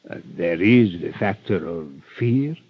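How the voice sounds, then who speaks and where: Ruthless, flowery-mannered mastermind, vintage radio crime serial, lively intonation, dull old-broadcast sound. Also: male voice, low-pitched, measured pace, husky, full character voice. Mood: menacing, polite. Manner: courtly, flowery, wheedling politeness. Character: suave, ruthless male criminal mastermind, flattering and menacing. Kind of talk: 1950s radio drama acting.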